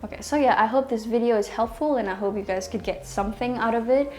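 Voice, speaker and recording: A woman's voice: fairly high pitch, fast, a little mellow. Chatty young woman. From Indonesia. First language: Indonesian. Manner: chatty, many ums and restarts. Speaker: language teacher and vlogger. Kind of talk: casual advice vlog. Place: small bedroom indoors.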